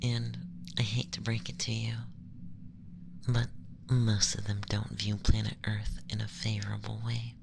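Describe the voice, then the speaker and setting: An ASMR performer voicing a calm, condescending young male alien abductor in a breathy, often whispered, gentle and formal monologue. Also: mid-range voice, a little slow, noisy background. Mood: solemn, explanatory. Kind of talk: ASMR roleplay, scripted monologue.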